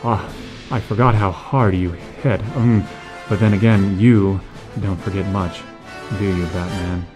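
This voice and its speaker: A man acting a smug, calculating villain in an audio drama, taunting in drawn-out, halting phrases. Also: sits low, steady tone, music in the background. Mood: mock regret. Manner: hesitant, drawn-out phrasing with pauses.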